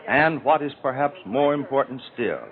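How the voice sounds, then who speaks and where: A man's voice: medium pitch, crowd noise. Tinny 1930s American radio commentator, oratorical man. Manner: oratorical, measured, emphatic phrasing. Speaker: radio political news commentator. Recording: old 1930s radio broadcast, narrow tinny band.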